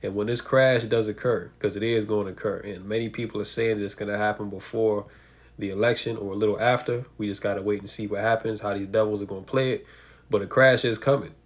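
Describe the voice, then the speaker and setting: A man's voice: fairly low pitch, steady tone, quick pace. A man with a stuffy nose from a cold, giving emphatic preaching commentary and asking rhetorical questions. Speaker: religious commentator.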